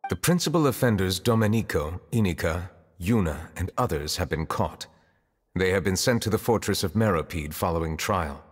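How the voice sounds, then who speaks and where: A man playing a formal, reserved official of high rank in a video game, speaking in a measured, stately way. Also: animated, breathy edge, in a large hall.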